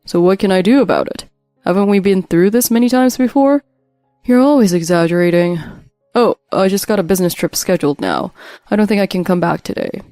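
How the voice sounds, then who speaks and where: A woman's voice: mid-range voice, fairly quick, warm tone, no room sound, clean studio sound, soft background music, lightly acted. A woman voicing a cold, dismissive adult husband who brushes off his wife. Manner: curt, dismissive.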